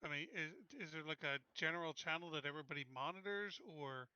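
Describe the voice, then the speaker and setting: Bright, clear man playing a tabletop game. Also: fairly high pitch, fairly quick, dry recording, lo-fi sound. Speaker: player in a tabletop roleplaying game. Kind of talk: casual questions and planning during a game.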